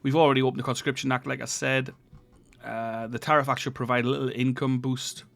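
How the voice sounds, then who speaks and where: A man at a middling pitch talks through his strategy game turn in a relaxed, unhurried way, thinking aloud with the odd filler. His voice is croaky and stuffed up from a lingering cold. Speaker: gaming channel host. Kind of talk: casual strategy game commentary.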